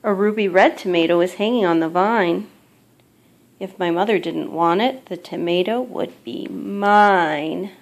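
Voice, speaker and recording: A woman reading a children's picture book aloud as a mother would, in measured, expressive storytelling. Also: lowish voice.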